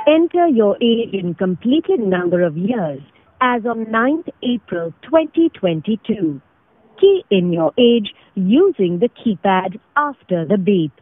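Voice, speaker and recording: Indian accent woman doing formal recorded phone menu prompts, thin phone sound, slowish, measured. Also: medium pitch, sing-song. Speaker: automated phone line announcer. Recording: tinny narrow phone line recording.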